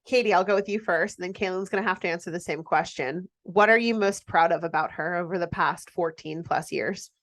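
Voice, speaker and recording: A woman's voice: fairly low pitch, brisk, hardly any pauses, fairly bright tone. A woman hosting a podcast, chatting casually and asking questions in a blunt, wry way. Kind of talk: casual chat.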